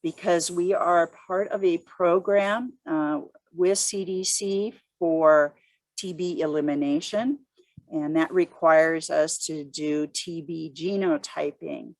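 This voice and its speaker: A woman moderating an informal work conference call, speaking in halting, deliberate phrases. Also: low-pitched, steady tone, a little mellow, dry recording.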